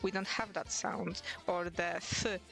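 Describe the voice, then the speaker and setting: A woman's voice: lowish voice, little pitch movement, measured pace, gravelly, a bit bright. A young woman from Poland, Polish her first language, chatting casually on a livestream in English with a mixed Polish and British accent, laughing often and restarting words. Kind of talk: casual chat while drawing on stream.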